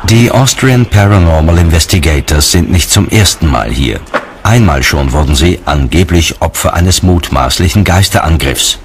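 A man's voice: sits low, animated, normal speed, noisy background. A man narrating a documentary from a script.

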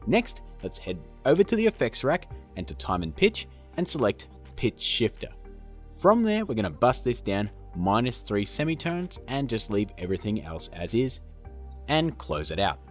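Very animated man, casual tutorial host. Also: medium pitch, normal speed. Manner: upbeat, chatty, self-deprecating. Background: background music.

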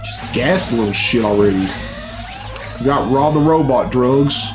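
A man's voice: medium pitch, expressive, a little slow. A middle-aged man giving casual, unscripted reaction commentary in a blunt, sweary, bemused way, recorded at a computer in a room at home. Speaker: reaction video host. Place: small room at home, indoors. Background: game cutscene music.

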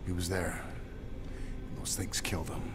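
An actor playing a gruff, middle-aged male inmate hero in a video game, terse and low-key. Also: level tone, normal speed, very noisy, lightly acted. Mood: grim. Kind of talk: video game cutscene acting.

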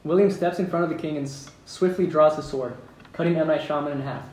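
A man's voice: a little high, steady tone, fast, a little mellow. A man reading stage directions aloud at an amateur script table read in a room at home, in a plain manner with some amusement. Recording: roomy, distant mic with background noise.

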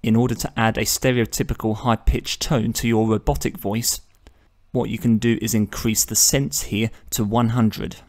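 A man narrating a step-by-step screen tutorial as the channel host, measured and instructional. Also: on the low side, little pitch movement.

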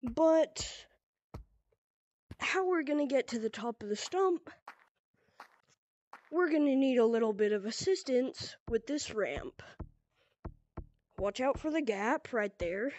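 Young woman, stuffy cold voice, slow unhurried delivery, mellow tone, rambling casual tutorial, headset mic. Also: high-pitched, expressive. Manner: loose and rambling, heavy on "pretty much" and "like". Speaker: VR gaming tutorial creator. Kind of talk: casual game tutorial narration.

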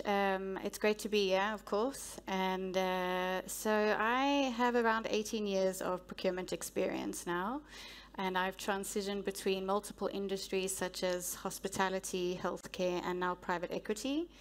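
South African woman, 30s, procurement exec, even-toned and steady, headset mic on stage. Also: medium pitch. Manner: measured, businesslike, with frequent ums. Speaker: guest speaker, head of procurement. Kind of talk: on-stage interview. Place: stage of a large conference hall.

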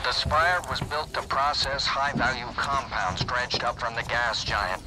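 An actor playing a commanding male security chief in a video game, giving brisk instructions during a crisis. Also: mid-range voice, fairly even intonation, natural voice, poor audio. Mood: instructive. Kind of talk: dramatic video game acting.

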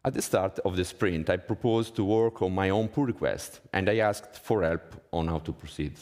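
Low-pitched Italian man in a reverberant hall. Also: moderate pace, a little mellow. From Italy. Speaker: conference speaker. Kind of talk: prepared conference talk. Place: conference hall stage, indoors.

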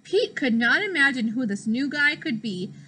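High, bright young female teacher reading aloud. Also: slightly rough. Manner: expressive, deliberate, child-directed. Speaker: teacher reading a picture book. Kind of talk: children's read-aloud. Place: small classroom, indoors.

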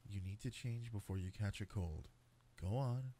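A man playing an affectionate cat-boy boyfriend in an intimate sleep-aid roleplay, speaking softly and coaxingly. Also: fairly low pitch, normal speed, slight background noise, lightly acted. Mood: doting, playful. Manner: soft, tender, coaxing, intimate. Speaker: roleplay voice actor.

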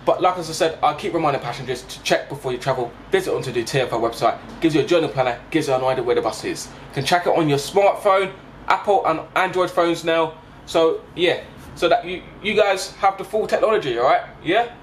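A man, vlogging casually as a bus driver, halting and repeating himself with frequent check-in tags, recorded on a handheld camera inside a bus. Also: a little high. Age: 20s. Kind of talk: casual explanatory vlog addressed to passengers. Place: inside a parked double-decker bus. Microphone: handheld camera at arm's length. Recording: background vehicle noise inside a bus.

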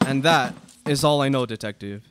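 A man's voice: medium pitch, moderate pace, very noisy, close to an everyday voice. A young man playing a prim, pompous, easily frightened aristocrat in a student radio play, speaking formally. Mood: pompous, flustered. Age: young adult. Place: small indoor studio. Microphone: close stand microphone.

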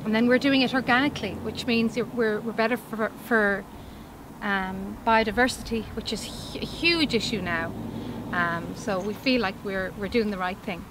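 An upbeat woman in her forties from Ireland speaks outdoors in a vegetable field, chatty and eager, often restarting her sentences. Her voice sits at an ordinary middle pitch. A faint open-air hum and distant traffic sit behind her. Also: normal speed. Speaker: organic farmer. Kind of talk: outdoor interview. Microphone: camera mic, standing interview.

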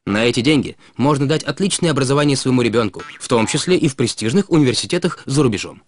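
A man reading a scripted educational explainer in a measured, instructive way, as a narrator for an animated film. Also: medium pitch, a little hiss or hum. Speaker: narrator of an educational cartoon about personal finance. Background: background music.

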